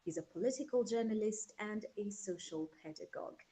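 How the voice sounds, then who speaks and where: A soft-spoken woman, hosting an online panel discussion in a measured way over a video call. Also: mid-range voice, very noisy. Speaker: host.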